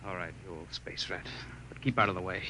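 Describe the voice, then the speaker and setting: Low, hard-edged spaceship captain. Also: male voice, a little fast, pushed and bright, slight background noise, poor audio. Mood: stern. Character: gruff, strict male spaceship captain. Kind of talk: 1950s radio drama acting.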